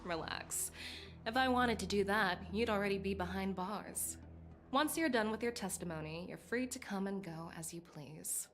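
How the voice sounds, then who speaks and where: A woman's voice: medium pitch, moderate pace, a bit bright, soft background music, close to an everyday voice. An actress playing a composed, confident female general in a video game, speaking in an assured, formal, commanding way. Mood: reassuring, wry. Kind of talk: video game voice acting, story dialogue.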